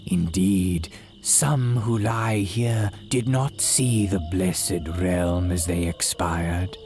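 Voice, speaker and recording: An actor playing a very old, sinister yet humble cemetery priest in a solemn, archaic radio horror monologue. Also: male voice, low voice, music in the background, full character voice. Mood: grave, foreboding. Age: older. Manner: archaic, solemn, drawn-out dramatic delivery. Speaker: horror storyteller.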